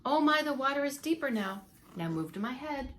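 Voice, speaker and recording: A woman's voice: sits high, expressive, a little fast, fairly bright tone, slight background noise. A woman voicing a devious male fox in a children's storybook, coaxing and falsely sweet. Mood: urgent, wheedling. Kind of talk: children's storybook read-aloud.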